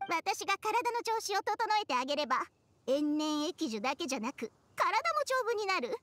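A high-voiced, haughty snake spirit, recorded dry and close. The ancient creature is smug and brusque, with lively, sharp-tongued delivery suited to an anime game. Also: female voice, normal speed, no room sound. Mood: boastful. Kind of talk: Japanese anime game voice acting.

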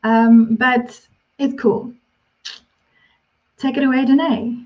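A woman's voice: on the high side, steady tone, slow. A woman hosting an online meetup, introducing a speaker in an easygoing way over a video call. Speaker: meetup host. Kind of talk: casual introduction. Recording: dull lo-fi call audio.

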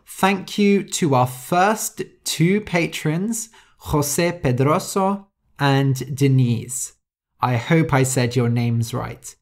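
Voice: medium pitch, slow pace. A podcast host reading a simple story aloud for English learners, explaining words and spelling some out letter by letter.

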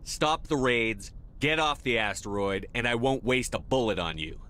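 An actor playing a tough, no-nonsense military commander in a video game, speaking in a terse, hard-edged, controlled way. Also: male voice, medium pitch, expressive, moderate pace, a bit bright, lightly acted. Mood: threatening, disdainful.

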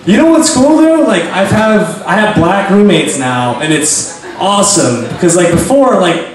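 A young man doing awkward, halting stand-up at an open mic, full of fillers and pauses, on a handheld mic in a small bar. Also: high voice, big pitch swings. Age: young adult. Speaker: stand-up comic. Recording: echoey bar from far off, crowd. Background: bar crowd.

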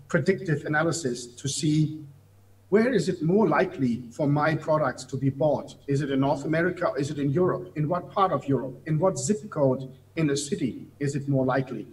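A man's voice: on the high side, moderate pace, poor audio. A man in his fifties, a native German speaker with a German accent, explaining things at length on a video call from an office, gesturing as he talks. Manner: explanatory, gestures while talking, some hesitant fillers. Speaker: guest. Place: office room. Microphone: video call webcam.

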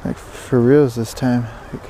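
A man giving excited, casual fishing commentary, repeating words in bursts, speaking outdoors into a body-worn action camera. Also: mid-range voice, unhurried, rough recording. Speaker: fishing vlogger. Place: outdoors beside a stream. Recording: outdoor water noise behind voice.

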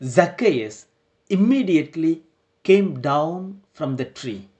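Slow, deliberate priest preaching. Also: male voice, on the high side, lively intonation, darkish tone. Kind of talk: sermon.

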